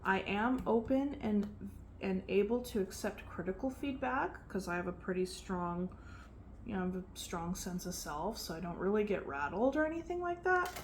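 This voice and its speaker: A soft-spoken woman giving a casual tarot reading, chatty and relaxed with frequent fillers. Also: medium pitch, normal speed. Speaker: tarot reader. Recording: faint background noise.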